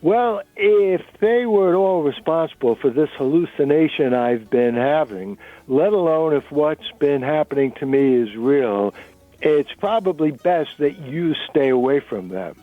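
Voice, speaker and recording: A man's voice: a little high, animated, measured pace, phone-quality sound. A man voicing an earnest, curious, uneasy man in a dramatic reading of a novel. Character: curious, uneasy man confiding in a new acquaintance.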